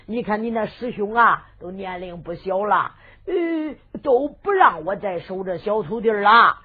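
A woman's voice: mid-range voice, animated, a little slow, breathy edge, voice pushed down. Brief: kindly old monk master, put on by a woman, sing-song, tinny lo-fi sound. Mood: gentle, relenting. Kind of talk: folk storytelling performance. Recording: lo-fi phone-like sound with faint music.

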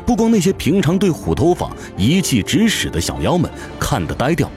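Male narrator, very animated pitch, theatrical, intense fantasy storytelling, clear background music. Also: fairly quick. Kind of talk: dramatic scripted fantasy narration. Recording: music behind the voice.

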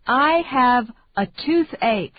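A woman reading English phrases slowly and deliberately for learners to repeat. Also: a little high, animated, no room sound. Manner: slow, deliberate, carefully enunciated. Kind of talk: slow repeat-after-me drill. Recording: dry, tinny phone-like sound.

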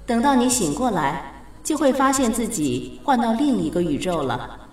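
Echoing, patient goddess of fate. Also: female voice, fairly high pitch, big pitch swings, recorded from a distance, faint music behind. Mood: assured. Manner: measured, explanatory, gently authoritative. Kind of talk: radio drama acting. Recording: very echoey reverberant studio effect.

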